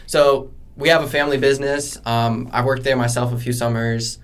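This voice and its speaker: A young adult man, a college student guest, answering casually and haltingly as he thinks aloud. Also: medium pitch, fairly even intonation.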